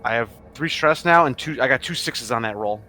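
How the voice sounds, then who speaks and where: A man's voice: mid-range voice, lively intonation, brisk, pushed and bright. A man chatting casually as a player in a tabletop roleplaying game, with music behind him.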